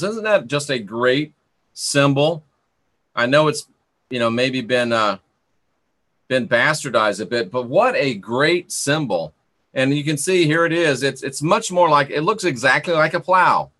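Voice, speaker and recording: A man's voice: mid-range voice, lively intonation, measured pace, bright, forceful tone. A man hosting an informal live talk, musing aloud to viewers in a rambling, opinionated way and repeating points for emphasis. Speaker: host of an esoteric talk livestream.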